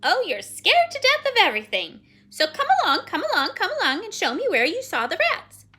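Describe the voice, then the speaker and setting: A woman voicing a bossy, scornful female pet bird in a children's story, brisk and exasperated, repeating the ends of phrases. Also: very high voice, huge pitch swings, bright, forceful tone, pitched above the performer's own voice, exaggerated voice. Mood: brisk, impatient.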